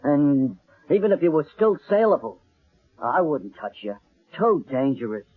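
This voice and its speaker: A streetwise male talent agent in an old radio mystery, blunt and slangy. His voice is fairly high and raspy, and the broadcast sound is thin and muffled. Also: very animated, measured pace, heavily acted. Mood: exasperated, scolding. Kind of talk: old-time radio drama acting.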